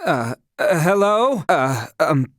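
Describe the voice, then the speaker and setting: An earnest young knight guard. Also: male voice, on the high side, very animated, slow, a bit bright, no echo, clean studio sound, exaggerated voice. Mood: stern. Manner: formal, old-fashioned speech; dutiful and protective. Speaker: knight protecting a princess. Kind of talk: video game voice acting.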